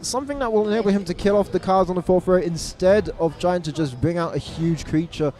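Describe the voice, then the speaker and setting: A man giving live play-by-play commentary on a card game match, stressing repeated words and correcting himself quickly. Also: high-pitched, dark tone, faint music behind. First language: English. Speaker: esports caster. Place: event venue abroad.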